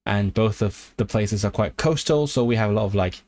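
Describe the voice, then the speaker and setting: A man talks to his viewers in a loose, rambling livestream style. His voice sits a little low. He corrects himself often and fills pauses as he goes. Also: darkish tone. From Hong Kong. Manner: rambling, with fillers and self-corrections. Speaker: language YouTuber on a livestream. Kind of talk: casual livestream chat answering viewers.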